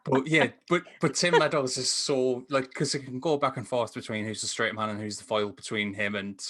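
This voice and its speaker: A man's voice: medium pitch, fairly even intonation, normal speed, fairly bright tone. A man chatting casually about films as a panelist, rambling and enthusiastic. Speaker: podcast panelist reviewing films. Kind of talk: casual film discussion chat. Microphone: remote call microphone.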